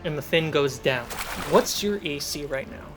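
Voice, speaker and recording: Game master host for a fantasy tabletop show, mid pitched voice, music going behind. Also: normal speed. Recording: background music under voice. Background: background music.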